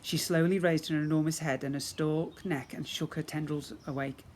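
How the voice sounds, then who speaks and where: A man's voice: a little high, steady tone, normal speed, a little mellow. A man narrating a children's story expressively, as a teacher reading to young pupils. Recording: faint background noise.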